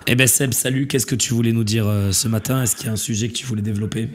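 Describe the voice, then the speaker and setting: A man hosting a casual call-in show, talking in a relaxed, rambling way with many fillers while losing his voice to a cold. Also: fairly low pitch, quick pace. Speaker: host.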